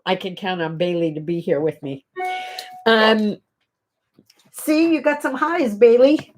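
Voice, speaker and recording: A woman's voice: mid-range voice, measured pace, darkish tone, slight background noise. Woman host, stuffy nasal voice, middle-aged, chatty, scattered, home webcam. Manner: chatty, scattered, addresses viewers directly. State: congested, nasal. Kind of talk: casual morning livestream chat. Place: home bedroom.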